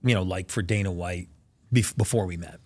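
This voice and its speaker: A man's voice: low-pitched, a little fast, no echo. A man hosting a podcast interview, earnestly walking through health figures with emphasis. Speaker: podcast host.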